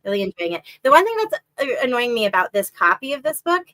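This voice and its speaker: A woman's voice: quick pace, no echo. A woman co-hosting a reading stream in casual chat, talking chattily with lots of fillers and a slightly stuffy nose. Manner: chatty, lots of "like" and "um", lively rises in pitch. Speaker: co-host of a reading sprint stream.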